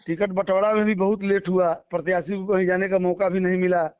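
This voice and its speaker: High-pitched Bihari man on a dull phone recording. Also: flat delivery, fairly quick, clean tone, no room sound. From Bihar, India. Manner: emphatic, repetitive, colloquial. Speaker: local politician being interviewed. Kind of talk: spontaneous political phone interview. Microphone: phone call.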